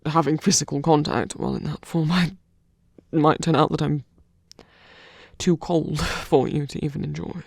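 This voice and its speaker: A man playing a wary, self-doubting shapeshifting lover in an intimate ASMR roleplay, speaking haltingly in a breathy voice that often drops toward a whisper. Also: a little high, steady tone, no echo, clean studio sound. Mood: tender, yielding. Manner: hesitant, halting, sighing, softly self-deprecating.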